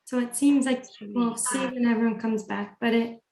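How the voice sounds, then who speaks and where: A woman facilitator with a fairly high voice runs an online group session. Her delivery is polite and instructional. The sound is clearly roomy and echoey, as on a video call. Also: fairly even intonation, moderate pace, darkish tone. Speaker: training facilitator.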